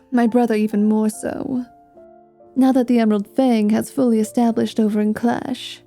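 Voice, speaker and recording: A woman's voice: fairly high pitch, vocal fry, warm tone. A woman voicing a flirty, world-weary female dhampir mercenary in a fantasy ASMR roleplay, teasing and dry with dramatic flair. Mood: guarded, confessing.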